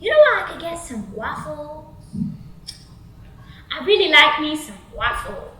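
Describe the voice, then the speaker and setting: A woman's voice: high voice, big pitch swings, a little slow, sharp tone, full character voice. A woman comedian voicing a creepy, dim, swaggering man who flirts with slangy, leering drawl, in an echoey room. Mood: dopey, flirty. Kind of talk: stand-up comedy character impression. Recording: echoey room, faint noise.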